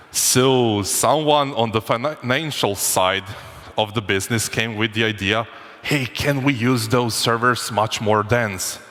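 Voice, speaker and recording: A man's voice: mid-range voice, sharp tone. A man from Croatia giving a joking, informal conference talk with restarts. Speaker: conference speaker. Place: conference hall. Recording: long hall reverb.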